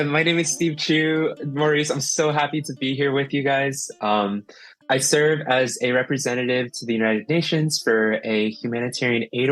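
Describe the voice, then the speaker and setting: A man's voice: medium pitch, a bit bright, dry recording. A young man from Ohio, a podcast guest, speaking reflectively with lots of "like" and "right?". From Ohio, United States. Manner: reflective, heavy use of "like" and "right?". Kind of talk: casual interview.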